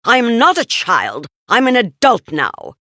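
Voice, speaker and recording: Lady doing a serious frowny grown man from a kids story, exasperated, pitch all over the place. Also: huge pitch swings, a little slow, pushed and bright, no echo, studio quality, full character voice. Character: frowny, anxious grown-up man, stern and weary. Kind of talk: children's storybook read-aloud.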